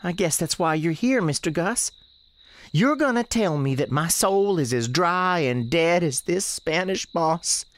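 A woman's voice: lowish voice, lively intonation, unhurried, no room sound. A woman playing the restless, defiant ghost of a sixteen-year-old novice nun in a radio drama, earnest and plaintive, with folksy phrasing. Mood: bitter, doomed. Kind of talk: dramatic radio theatre dialogue.

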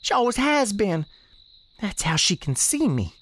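A woman's voice: mid-range voice, very animated, measured pace. Teen ghost nun girl in a radio play, plaintive n defiant, earnest folksy talk. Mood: pleading. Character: restless defiant ghost of a sixteen-year-old novice nun. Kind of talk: dramatic radio theatre dialogue.

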